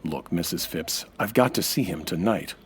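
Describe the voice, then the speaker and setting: A man with an American accent playing a world-weary, hardboiled nightclub owner narrating and talking in deadpan 1940s radio noir style. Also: low-pitched, moderate pace, husky, exaggerated voice. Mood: businesslike. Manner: dry, wry, deadpan.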